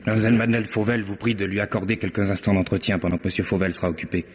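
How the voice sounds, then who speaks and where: A polite eager clerk, talks fast, old radio drama, muffled and very echoey. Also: male voice, lowish voice, steady tone, slightly rough. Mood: deferential. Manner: polite, brisk. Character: deferential, earnest male bank clerk. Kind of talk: old French radio mystery drama acting. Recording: muffled radio recording with long reverb.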